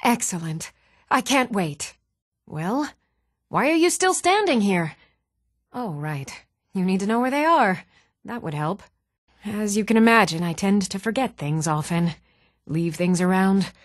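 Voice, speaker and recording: An earnest woman from a fantasy video game, speaking in an even-toned voice of medium pitch. The sound is dry, with no room around the lines. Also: a little slow, pauses often, no room sound. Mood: scatterbrained, eager. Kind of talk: video game character dialogue.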